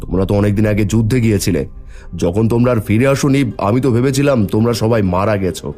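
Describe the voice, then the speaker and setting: A man's voice: on the low side, quick pace, mellow, soft background music, natural voice. A man playing an older king and father with a commanding manner in a cartoon fairy tale. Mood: astonished.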